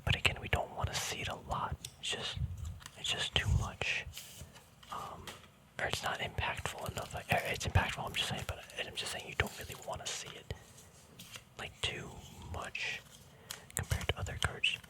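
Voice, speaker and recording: A man speaking in a breathy whisper in a casual, relaxed ASMR style, rambling through explanations and often correcting himself. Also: moderate pace. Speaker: host of a hobby card-game ASMR video.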